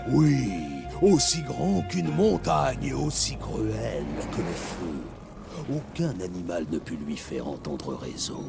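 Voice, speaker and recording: A man playing an old grandfatherly cartoon animal who tells legends to children in a slow, dramatic, gravelly way. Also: on the low side, very animated, heavily acted. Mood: solemn, dramatic. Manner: slow dramatic storytelling with theatrical pauses. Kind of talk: animated series dub, storytelling. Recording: music behind the voice.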